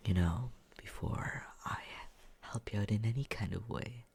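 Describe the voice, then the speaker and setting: A performer voicing a smug, mocking young male roommate in an ASMR roleplay, with a breathy, husky voice that often slips into a whisper and a condescending, taunting drawl. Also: medium pitch, a little slow, a little mellow, heavily acted. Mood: smug teasing. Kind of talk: ASMR roleplay, slow teasing monologue.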